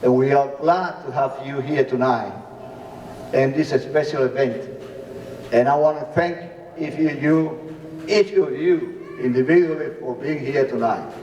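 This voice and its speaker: A middle-aged man hosting a wedding party and thanking everyone, speaking haltingly as he searches for names. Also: mid-range voice, a little mellow, highs cut off, slight background noise. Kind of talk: thank-you speech. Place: large ballroom. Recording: echoey ballroom from far off.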